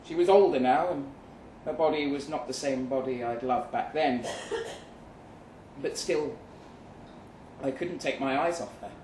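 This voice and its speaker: Slow, measured male storyteller, roomy sound. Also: mid-range voice, darkish tone. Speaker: story reader. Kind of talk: literary first-person narration, live reading. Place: pub event room, live reading. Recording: roomy, distant live venue recording, faint noise.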